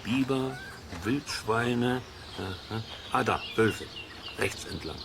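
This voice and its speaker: A curious, stubborn nature sleuth in a children's audio drama. His voice is a man's, crackly with fry, and he mulls things over half to himself. Clear outdoor animal ambience sits behind him. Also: medium pitch, slow. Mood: musing. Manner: musing, muttering to himself. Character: curious, stubborn male amateur nature sleuth named Peter. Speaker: main character of the series. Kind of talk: German children's radio play acting.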